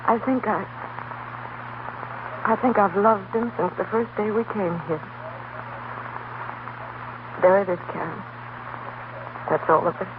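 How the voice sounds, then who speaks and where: A woman's voice: mid-range voice, takes it slowly, pauses often, lightly acted. An actress playing an earnest, anguished young woman schoolteacher, intense and emotional in 1930s radio drama style. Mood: sad, confessing. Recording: noisy, tinny 1930s radio broadcast.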